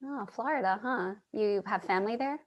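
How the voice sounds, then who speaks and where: A woman acting a sympathetic, curious, lonely character in a staged play reading, asking gently and tentatively. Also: medium pitch, moderate pace, muffled recording, lightly acted. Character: sympathetic, curious, lonely listener named Charlie. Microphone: video call.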